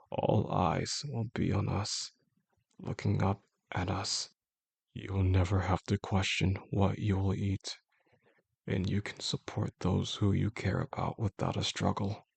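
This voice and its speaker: A man acting as a possessive, obsessive male boss in an intimate audio drama. His voice is low and heavily creaky, with a husky rasp. He speaks slowly and deliberately, coaxing with calm menace and formal phrasing. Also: dry recording, exaggerated voice. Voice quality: gravelly, husky. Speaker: audio roleplay voice actor. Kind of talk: intimate scripted roleplay monologue.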